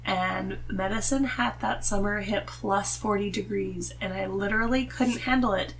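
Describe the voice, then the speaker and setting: A woman's voice: mid-range voice, steady tone, normal speed, rough recording. Nasal woman chatting over background noise. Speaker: podcast guest. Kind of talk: casual conversational chat.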